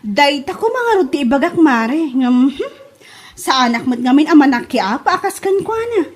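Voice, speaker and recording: A woman's voice: high-pitched, expressive, moderate pace. A woman acting an exasperated, gossipy village confidante in an Ilocano comedy drama, with an Ilocano accent.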